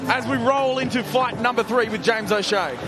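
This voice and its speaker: A man giving excitable live play-by-play commentary on a cage fight, often shouting. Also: very high-pitched, moderate pace. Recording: loud crowd and music behind.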